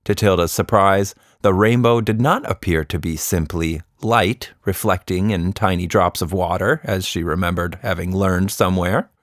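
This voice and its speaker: A man narrating a scripted children's story as a storyteller, in an expressive, deliberate manner. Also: low voice, no echo, clean studio sound.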